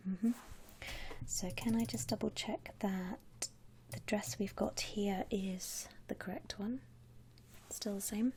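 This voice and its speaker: Soft whisper, woman playing a friendly vampire shop lady for asmr roleplay, slow n reassuring, clicky noisy background. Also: medium pitch, fairly even intonation. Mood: helpful, soothing. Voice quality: breathy whisper. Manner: gentle, reassuring, chatty customer-service talk with pauses. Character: friendly, attentive female vampire coffin saleswoman. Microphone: close ASMR microphone.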